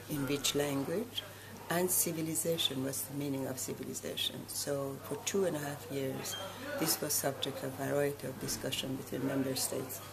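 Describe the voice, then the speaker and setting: Woman in her 60s, from Iran, flat even delivery, deliberate pauses, crowd murmur behind, close webcam. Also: low-pitched, creaky, rough recording. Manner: measured, deliberate, with frequent pauses and fillers. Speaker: guest, association president. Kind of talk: formal interview answers.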